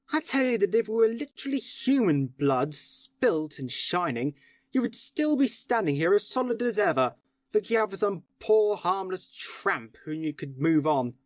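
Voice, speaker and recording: A woman's voice: medium pitch, no room sound, studio quality. A woman reading an audiobook voices an agitated, scornful shabby young man who speaks sharply. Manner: sharp, heated outbursts. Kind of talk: audiobook character voice.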